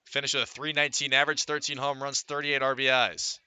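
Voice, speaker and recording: An American man calling baseball live as a radio play-by-play announcer, reciting statistics between pitch calls. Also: little pitch movement, fast talker, sharp tone, clear, resonant tone, no echo. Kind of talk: live sports commentary.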